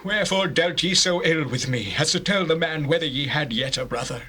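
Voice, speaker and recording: A grieving old patriarch in an audio scripture drama. He declaims solemnly and anxiously, in a fairly high, sharp and forceful voice. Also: a little fast, a little hiss or hum. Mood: reproachful. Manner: solemn, mournful, archaic declaiming. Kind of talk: dramatized scripture, stage-like acting.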